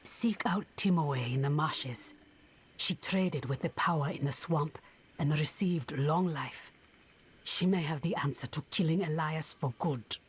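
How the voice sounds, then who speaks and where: An actress playing a solemn, determined hunter in a dark fantasy game, speaking deliberately. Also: female voice, low voice, a little hiss or hum. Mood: stern, resolute. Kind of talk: dramatic video game dialogue. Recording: dramatic game music underneath.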